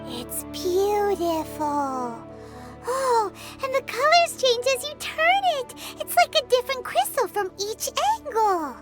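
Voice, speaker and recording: A super high squeaky little fairy sidekick, slower talker, blunt and curious, loud music behind. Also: female voice, big pitch swings, full character voice. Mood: delighted. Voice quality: squeaky, childlike. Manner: refers to self in third person, blunt. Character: tiny childlike floating fairy companion, chirpy and curious. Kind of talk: video game voice acting.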